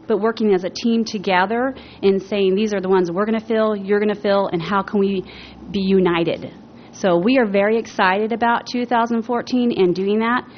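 Earnest woman addressing a board from the podium mic, muffled meeting audio with faint hiss. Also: medium pitch. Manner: earnest, with frequent ums. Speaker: chamber of commerce president giving public comment. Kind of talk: formal public comment at a meeting. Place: public meeting room. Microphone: podium microphone.

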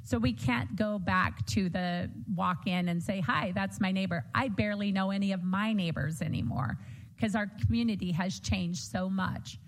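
A woman with a strong, loud voice giving an earnest, hopeful answer as a candidate in a debate. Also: medium pitch, moderate pace, rough recording.

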